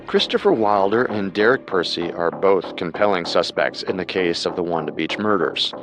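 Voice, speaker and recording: A man co-hosting a scripted true-crime programme, narrating in a measured, dramatic way with music behind him. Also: low-pitched, expressive, poor audio. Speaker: co-host narrator.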